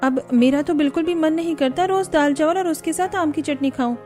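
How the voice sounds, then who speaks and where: A woman's voice: sits high, a little fast, dark tone, soft background music, pitched above the performer's own voice, lightly acted. A woman voicing a weary young Indian daughter-in-law in a cartoon story, complaining plaintively. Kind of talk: Hindi cartoon story dialogue.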